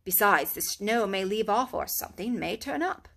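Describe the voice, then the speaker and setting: A woman voicing a kindly, excitable male rat who talks briskly and eagerly. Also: mid-range voice, gravelly. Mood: planning.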